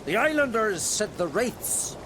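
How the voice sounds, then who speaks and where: An actor playing a pompous, indignant older druid scholar in a video game, with a theatrical, scolding manner. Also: male voice, high voice, big pitch swings, measured pace, noisy background. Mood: curious, grave. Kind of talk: video game cutscene acting. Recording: music and effects behind.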